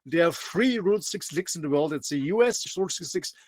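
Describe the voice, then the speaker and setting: A man's voice: a little high, expressive, brisk, a little mellow, no room sound, studio quality. A middle-aged man from Austria with a German accent, chatting on a video call and often repeating words as he restarts. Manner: restarts and repeats words, laughs between phrases. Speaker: guest show star. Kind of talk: casual chat. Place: motorhome on a coast.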